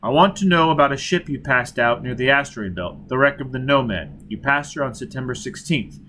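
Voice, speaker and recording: A man reads a grim, driven man in an audiobook. He is blunt and impatient, pressing for answers at a middle pitch, with faint noise behind him. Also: brisk, lightly acted. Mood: demanding. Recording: steady background noise.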